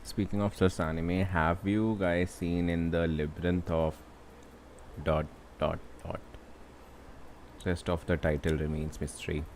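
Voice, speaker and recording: A man's voice: low-pitched, little pitch movement, a little slow, darkish tone, a little hiss or hum. A young man with an Indian accent chatting casually as he livestreams a game. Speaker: game streamer. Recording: game music behind the voice. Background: video game music.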